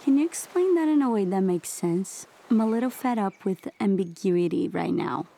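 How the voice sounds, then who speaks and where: A woman's voice: medium pitch, lively intonation, normal speed, creaky, mellow. An actress playing a shaken, fed-up, sardonic young woman in an audio play. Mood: shaken, confused. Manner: exasperated, sarcastic.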